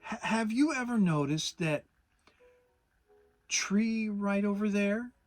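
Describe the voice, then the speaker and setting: Someone playing a slow, gentle, dim bear. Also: mid-range voice, expressive, soft background music, pitched above the performer's own voice. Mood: hesitant. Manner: halting, deliberate. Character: slow-witted, gentle, earnest bear reciting and fumbling. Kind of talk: dramatic children's storybook reading.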